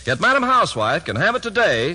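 An American man delivering a 1950s radio advertising pitch with upbeat salesman patter. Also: sing-song, fast, bright, forceful tone. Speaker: radio commercial announcer.

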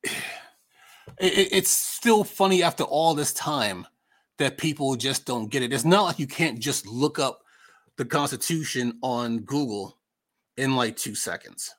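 A man's voice: medium pitch, lively intonation, measured pace, a bit bright. A man with an American accent giving casual, opinionated political commentary as a podcast host, conversational and emphatic, repeating himself for effect.

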